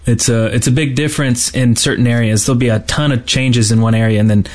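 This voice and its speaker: A man's voice: medium pitch, steady tone, fast, darkish tone. A man co-hosting a casual gaming podcast, talking in a hesitant, rambling way with trailing thoughts. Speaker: podcast co-host.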